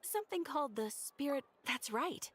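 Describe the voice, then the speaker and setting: A woman's voice: sits high, expressive, normal speed, a bit bright. A woman voicing a polite, helpful guild receptionist in an anime video game, explaining things formally and articulately. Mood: courteous, informative.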